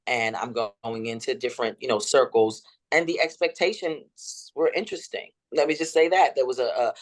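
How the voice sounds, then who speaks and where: A woman in her fifties with a deep, rolling voice, telling her story dramatically as an interview guest. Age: 50s. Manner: dramatic and expressive.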